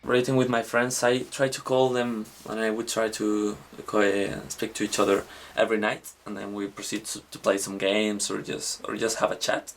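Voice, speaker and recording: A young man with a low, gravelly voice that stays flat in pitch. He talks casually and often restarts his sentences. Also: normal speed, hardly any pauses, slight background noise. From Spain. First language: Spanish. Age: 20s.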